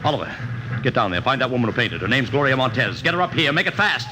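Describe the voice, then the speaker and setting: Man as a urgent, animated hard-boiled publicity man. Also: medium pitch, fast, natural voice. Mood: grim urgency. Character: desperate, fast-talking, tough publicity man. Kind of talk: old-time radio drama acting. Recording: noisy vintage radio recording.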